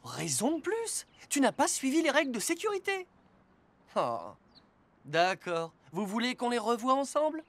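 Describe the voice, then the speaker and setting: A woman voicing a calm, responsible rescue patrol car in a children's cartoon, teaching in a gently firm way. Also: a little high, normal speed. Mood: stern concern. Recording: loud music behind the voice.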